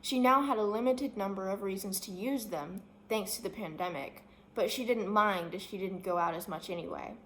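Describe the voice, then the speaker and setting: A woman narrates a story from a script. Her pitch is medium for a woman, and there is faint noise behind her. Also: normal speed, hardly any pauses, a bit bright, low-quality recording. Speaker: story reader.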